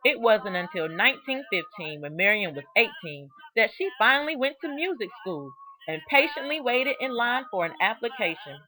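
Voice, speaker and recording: A woman reading a children's biography aloud in an expressive, deliberate storytelling manner, stressing key words. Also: fairly high pitch, rough recording. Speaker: storybook reader.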